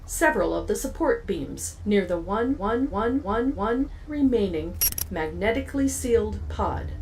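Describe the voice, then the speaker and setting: A woman's voice: medium pitch, sing-song, measured pace, creaky, darkish tone, a little hiss or hum, rough recording. An actress playing a polite, glitching female ship AI in a science fiction audio drama, speaking in formal, stilted phrases. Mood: matter-of-fact, glitching. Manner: formal, stilted, with stuttering word repeats.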